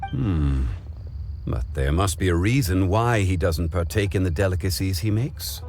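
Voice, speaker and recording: An actor voicing a calm, wise male storyteller in a game, speaking in measured, formal phrases. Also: deep voice, soft background music. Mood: thoughtful. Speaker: game character. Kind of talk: game cutscene voice acting.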